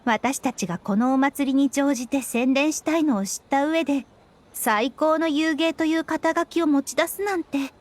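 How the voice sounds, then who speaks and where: A woman's voice: high-pitched, lightly acted. An actress playing a polite, shrewd young woman strategist in an anime game, speaking in a composed, analytical way. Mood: shrewd, suspicious. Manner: polite, measured wording, analytical. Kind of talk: Japanese anime game voice acting.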